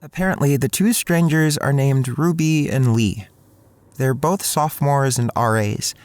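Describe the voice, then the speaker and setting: Young male lead played by a man: awkward, anxious college freshman narrating a drama, mid-pitched, over music. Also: unhurried, a little mellow, dry recording, lightly acted. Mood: wry, observant. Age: young adult. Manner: hesitant, stammering in dialogue. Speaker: narrator and main character. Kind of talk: first-person audio drama narration.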